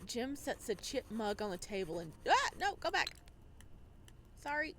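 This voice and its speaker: A woman's voice: fairly high pitch, very animated, moderate pace, fairly bright tone, slight background noise. A woman hosting a game playthrough, reading narration aloud dramatically and adding casual commentary. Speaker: video game playthrough host.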